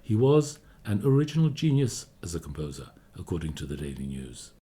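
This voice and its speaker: A man playing a confiding, measured storyteller narrating history in a scripted radio play. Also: sits low, very animated, warm tone. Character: discreetly gossiping male narrator recounting a composer's history. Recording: studio radio play with music behind. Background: music.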